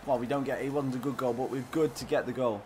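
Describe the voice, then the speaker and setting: A hoarse man, streaming live and giving excitable, chatty play-by-play to his viewers. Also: mid-range voice, fast, slight background noise. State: hoarse, scratchy throat. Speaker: game streamer. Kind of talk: live play-by-play commentary while gaming.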